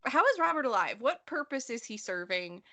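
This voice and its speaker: A woman's voice: high-pitched, very animated, normal speed, pushed and bright. A woman co-hosting a casual book discussion, opinionated and emphatic, swearing freely. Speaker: podcast host.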